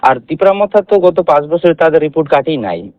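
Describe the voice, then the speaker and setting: A man's voice: a little high, sing-song, fast, no echo. A man with a Tripura Bengali accent giving interview answers as an election candidate over the phone, mixing in English phrases. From Tripura, India. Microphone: telephone. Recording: dull lo-fi phone line.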